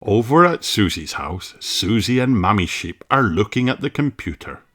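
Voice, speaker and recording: A storybook reader narrating for young children in an expressive, deliberate way. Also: mid-range voice. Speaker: audiobook reader. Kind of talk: slow read-aloud storybook narration for children. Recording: music under the voice. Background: music.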